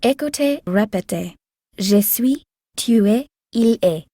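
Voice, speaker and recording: A woman playing a strict, composed governess in a video game, formal and measured as she instructs. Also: fairly high pitch, animated, warm tone, no echo, studio quality. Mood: firm, instructive. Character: strict, composed female governess tutoring a child. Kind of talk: video game character dialogue.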